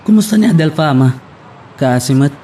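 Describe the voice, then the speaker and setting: A man playing a polite, dutiful young driver with a soft manner in an Ilocano audio drama. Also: fairly high pitch, expressive, brisk, natural voice. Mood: concerned. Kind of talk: Ilocano radio-style drama acting.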